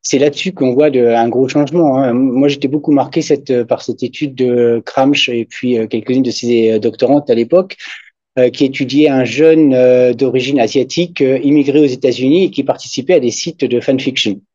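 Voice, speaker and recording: Hesitant man, muffled, mellow voice. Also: medium pitch, steady tone, moderate pace, breathy edge, no echo. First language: German. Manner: hesitant, many fillers and restarts. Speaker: conference presenter, language didactics researcher. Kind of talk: academic discussion after a talk. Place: university conference room. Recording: dull muffled sound.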